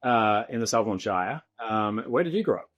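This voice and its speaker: A man's voice: on the low side, animated, normal speed, fairly bright tone, lo-fi sound. A man from Sydney with an Australian accent, hosting a podcast interview in an informal, somewhat hesitant conversational way. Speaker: podcast host.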